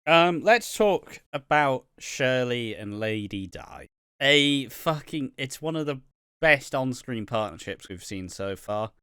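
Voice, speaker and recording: A man's voice: mid-range voice, lively intonation, slow, bright, forceful tone, clean tone, dry recording, studio quality. A man co-hosting a casual podcast about a TV soap, swearing freely and acting out characters' lines. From United Kingdom. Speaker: podcast co-host.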